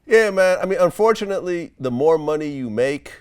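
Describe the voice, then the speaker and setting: A man working as an interviewer, asking questions casually and piecing them together in a halting way. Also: a little high, lively intonation, clean tone.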